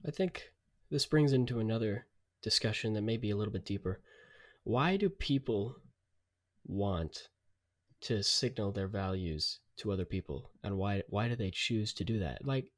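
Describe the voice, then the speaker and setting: A man's voice: fairly low pitch, lively intonation, moderate pace. A man co-hosting a podcast, speaking in casual discussion and arguing his opinions with repeated words. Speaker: podcast co-host.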